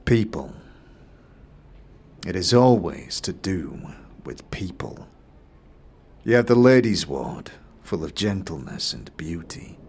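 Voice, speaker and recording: Casting: male actor as smug, calculating fantasy-game moneylender; low register, sneering condescension, lines drawn out with relish. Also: sing-song, vocal fry, a little mellow, breathy edge, full character voice. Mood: disdainful. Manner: condescending, drawn-out, measured delivery. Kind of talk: fantasy game audio series acting.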